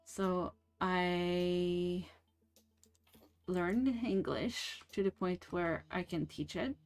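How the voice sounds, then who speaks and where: Slow, rambling woman streamer. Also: fairly low pitch, steady tone, a little mellow, clear, resonant tone, soft background music. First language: French. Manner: slow, rambling, many fillers and restarts. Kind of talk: casual chat while playing a game.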